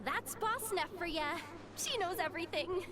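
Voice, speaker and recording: A woman voicing a small, childlike, chatty sidekick in a video game, squeaky, naive and expressive. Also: normal speed, noisy background. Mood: curious. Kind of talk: cartoonish video game voice acting.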